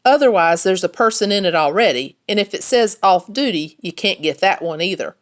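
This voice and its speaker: A woman's voice: mid-range voice, expressive, brisk, lightly acted. A woman voicing an earnest, plain-spoken young city boy in a storybook.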